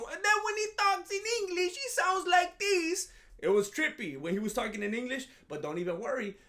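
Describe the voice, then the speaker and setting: High-voiced joking commentary vlogger man. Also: fairly bright tone. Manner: joking, sarcastic, self-mocking; rambling with frequent restarts. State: groggy after a nap. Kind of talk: casual comedic commentary monologue.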